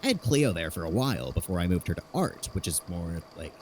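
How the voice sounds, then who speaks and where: Eccentric inventor, male actor: lowish voice, wildly swinging pitch, eager and sheepish, halting explanations, faint noise behind. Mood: eager, explaining. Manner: halting, rambling, animated explaining. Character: eager rambling inventor cheerfully cataloguing fears, sheepish about deaths. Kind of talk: podfic audio drama acting.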